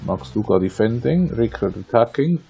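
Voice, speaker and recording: A man's voice: medium pitch, expressive, moderate pace, creaky, warm tone, a little hiss or hum. A man from Austria with an Austrian accent and German as his native language, giving conversational, wry live racing commentary with playful asides. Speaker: sim racing league commentator.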